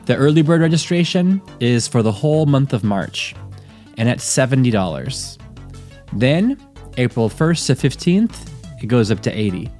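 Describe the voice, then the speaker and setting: A man's voice: mid-range voice, big pitch swings, normal speed, darkish tone, soft background music. A man hosting a preaching podcast, reading a plain and direct event announcement and then interviewing a guest, with frequent fillers. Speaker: podcast host.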